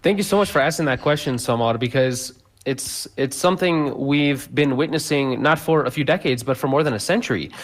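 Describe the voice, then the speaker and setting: A man's voice: medium pitch, fairly quick. A man speaking as a guest scholar in a radio interview, explaining at length with many fillers and restarts.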